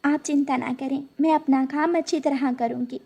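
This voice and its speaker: A woman voicing a gentle, dutiful mother bird in a cartoon, speaking in a soft, humble, earnest way. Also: high-pitched, steady tone, normal speed, gravelly, slight background noise.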